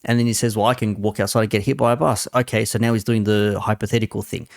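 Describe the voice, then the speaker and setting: A man with a low, gravelly voice delivers off-the-cuff sports commentary in a dry, close recording. He argues sarcastically, repeating himself and posing rhetorical questions. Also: level tone, quick pace, dry recording. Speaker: host giving football opinion.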